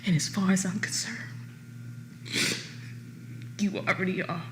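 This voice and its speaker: A bitter, grieving mother in a screen drama, played by an actress. Her mid-pitched voice is cold and accusing, with clear ambient noise around it. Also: little pitch movement, unhurried, lightly acted.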